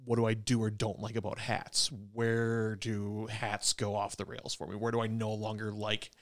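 A man from Wisconsin hosting a podcast, talking things through in a measured, thoughtful way and repeating words as he goes. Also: fairly low pitch, completely flat delivery, fairly bright tone, no echo, studio quality. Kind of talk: casual podcast chat.

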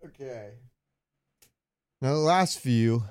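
A man's voice: mid-range voice, takes it slowly, clear, resonant tone, dry recording. A man hosting a podcast in casual fan chat, talking freely and profanely. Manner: profane, animated retelling with quoted lines. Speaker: podcast host.

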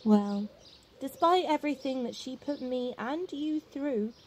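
A woman's voice: sits high, expressive, unhurried, a little mellow, a little hiss or hum. A woman in an audio play acting an outspoken, exasperated old flame, forthright and sardonic. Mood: frank, coaxing.

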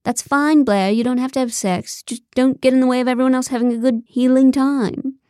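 A woman puts on a pompous male showbiz director with a phoney cockney British accent. He is breezy and patronising, lifted above the narrator's pitch, in a close, dry audiobook recording. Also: fairly even intonation, fairly quick, a little mellow, no echo, natural voice. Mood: smugly soothing. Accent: fake British, cockney-like.

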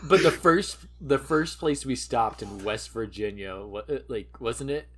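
A young man with a raspy voice co-hosting a podcast, joking dryly in casual chat. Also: mid-range voice, lively intonation, measured pace. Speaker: podcast co-host. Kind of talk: casual chat among friends.

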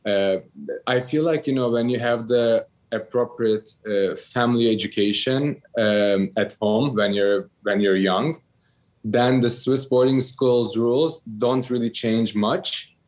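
A man's voice: mid-range voice, measured pace, darkish tone. A man in his thirties from Turkey, answering interview questions casually with many ums and restarts. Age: 30s. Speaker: interview guest, entrepreneur.

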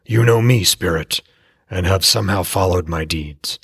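A man's voice: low-pitched, moderate pace, gravelly, fairly bright tone, husky, no room sound, studio quality, full character voice. A man voicing a proud, scornful old war god in an audiobook, speaking in a haughty, commanding way. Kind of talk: audiobook character voice.

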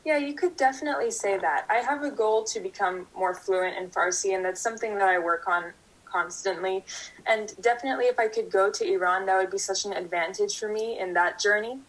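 Young adult woman, interview guest, calm, measured answers, mid-pitched. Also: poor audio. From Canada. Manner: thoughtful, steady, speaks in full sentences.

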